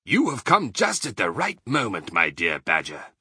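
A man's voice: lowish voice, wildly swooping pitch, normal speed, bright, forceful tone, slightly rough, no room sound, exaggerated voice. A man voicing a sly, courteous fox father in a children's storybook, suave and savouring his words. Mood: conspiratorial.

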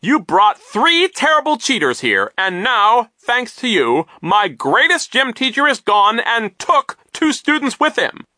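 A petulant, pompous school official from a storybook, put on by a man. He scolds in a high, sharp, declamatory voice, recorded dry. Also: very animated, unhurried, few pauses, dry recording, heavily acted. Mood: indignant. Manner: accusing, declamatory.

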